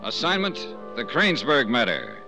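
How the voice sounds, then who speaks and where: An actor playing a tough, wry insurance investigator with a clipped, sardonic manner in a 1950s radio drama. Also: male voice, medium pitch, huge pitch swings, moderate pace, music in the background. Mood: brisk, businesslike. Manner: clipped, hard-boiled, sardonic. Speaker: lead actor and narrator of a radio detective serial.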